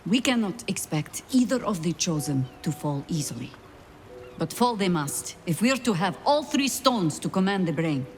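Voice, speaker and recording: An actress playing a wary, weary veteran spy in a video game, with dry, measured, formal delivery. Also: female voice, lowish voice, sing-song, darkish tone, a little hiss or hum. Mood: scornful, resolute. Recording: music behind the voice. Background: game music.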